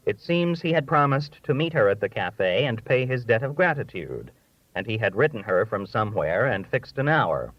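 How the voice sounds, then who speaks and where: A man reads a humorous essay aloud as an audiobook narrator. His delivery is measured and expressive, with a dry storytelling touch and a medium pitch. The recording is close and dry, with a slightly dull, lo-fi tone. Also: no echo. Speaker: audiobook reader.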